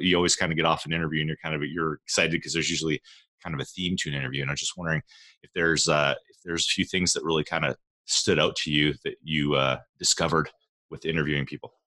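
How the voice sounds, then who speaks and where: A man in his forties, a guest on a podcast, chatting in an animated way and repeating words as he goes. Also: very deep voice, dry recording. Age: 40s. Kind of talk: casual interview chat.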